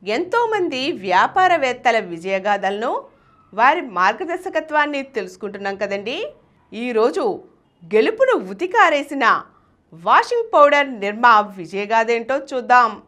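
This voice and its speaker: A woman narrating a scripted story as a show host, in an expressive, emphatic storyteller's delivery. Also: a little high, measured pace. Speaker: host and reader of a business success story show.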